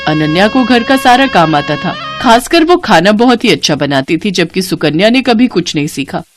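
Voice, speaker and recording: A woman narrating a scripted moral bedtime story in an expressive storytelling manner. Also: medium pitch, normal speed, soft background music.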